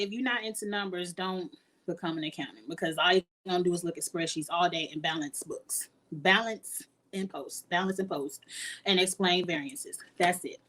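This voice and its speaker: Chatty high-voiced woman vlogger. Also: a bit bright. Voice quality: high-pitched voice. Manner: chatty, laughs often, earnest and encouraging. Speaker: YouTuber answering live chat. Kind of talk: casual chat with viewers.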